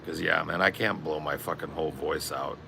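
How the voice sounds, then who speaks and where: A man with a strained, raspy voice chatting casually on a stream, rambling and swearing a lot. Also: very deep voice, brisk, noisy background. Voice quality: gravelly. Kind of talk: casual chat.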